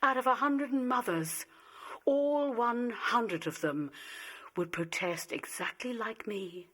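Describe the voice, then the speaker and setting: A woman acting an anxious, emotional wife and mother in a radio play, speaking theatrically with dramatic pauses. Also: on the high side, fairly bright tone. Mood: firm, emphatic.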